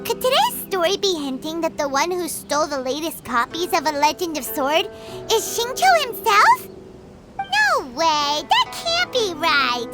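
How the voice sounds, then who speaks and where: A woman voicing a tiny, excitable childlike fairy companion in a video game, squeaky and given to exclaiming. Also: measured pace, over loud music, exaggerated voice. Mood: puzzled, incredulous. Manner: exclamatory, speaks of self in third person.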